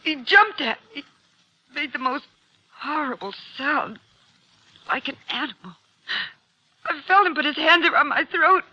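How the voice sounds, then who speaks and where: An actress playing a frightened woman in her twenties, distraught and halting, in 1950s radio drama style. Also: sits high, animated, pushed and bright, no room sound, full character voice, low-quality recording. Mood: frightened, shaken. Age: 20s. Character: shaken, frightened young woman, assault victim.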